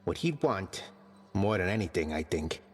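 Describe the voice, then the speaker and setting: An actor playing a gruff, joking male skeleton commander who trains fighters and mixes lofty old words with slang, delivered deadpan. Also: deep voice, normal speed, long reverb tail, soft background music. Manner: deadpan jokes mixing archaic and slang words. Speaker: combat trainer.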